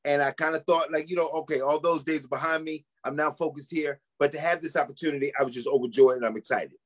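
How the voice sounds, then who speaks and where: A man's voice: fairly high pitch, fairly even intonation, fast talker. A man, a pastor, talking conversationally as a guest in an interview.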